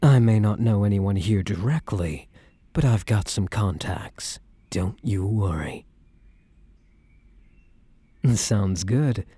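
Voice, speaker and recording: Man acting as a mellow, low, teasing boyfriend. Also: expressive, slow pace, long pauses, breathy edge, no room sound, full character voice. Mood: warm, suave. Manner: soft-spoken, teasing, wry, casual. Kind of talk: boyfriend ASMR roleplay, intimate scripted acting.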